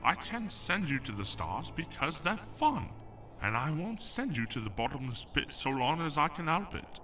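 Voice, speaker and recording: Stern, bright-voiced archangel acted by a man, swooping delivery. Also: a little high, very animated, moderate pace, recorded from a distance, soft background music, exaggerated voice. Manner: pronouncing, authoritative. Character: stern male archangel, grand, judging, grudgingly fair. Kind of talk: audio drama acting.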